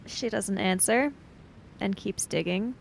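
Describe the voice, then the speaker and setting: A woman improvising narration in a roleplay game, with halting, deliberate phrasing. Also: mid-range voice, vocal fry, slight background noise, low-quality recording. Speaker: tabletop roleplay player.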